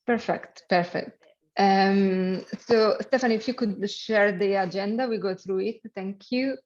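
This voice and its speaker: A woman with an Italian accent, a native Italian speaker, hosting an online webinar in halting speech with many fillers and light jokes. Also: mid-range voice, darkish tone. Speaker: webinar host and program manager. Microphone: video call. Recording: lo-fi video call audio.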